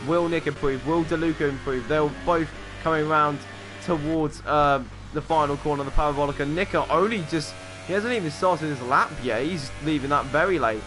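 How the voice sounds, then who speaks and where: Male sim-race commentator, slightly high voice, excitable lap calls, music bed clearly audible. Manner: quick, excitable lap-by-lap calling with asides.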